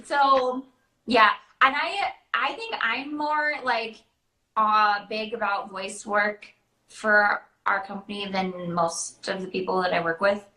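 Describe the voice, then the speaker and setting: A woman's voice: mid-range voice, slow pace, sharp tone. A young woman in casual conversation as a guest performer, talking in a hesitant, self-interrupting way. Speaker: princess party performer, guest. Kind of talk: casual chat. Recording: roomy, echoing sound.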